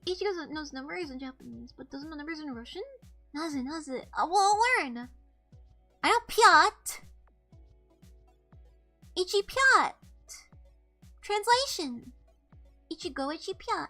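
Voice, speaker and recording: A young woman's high, bright voice with a light nasal tone, chatting loosely to her stream. She rambles from thought to thought, peppering everything with "like" and "bro" and casual swearing, and faint music plays behind her. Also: expressive, unhurried, pauses often. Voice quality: slightly nasal. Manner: rambling, profane, lots of "like" and "bro". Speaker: streamer host. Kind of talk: casual live-stream chat.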